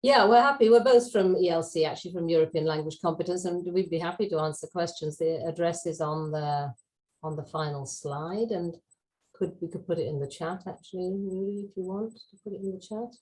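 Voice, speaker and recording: A British woman, a webinar speaker, answering audience questions in a measured, conversational way over a video call. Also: on the low side, darkish tone, poor audio. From United Kingdom. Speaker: webinar speaker, language expert.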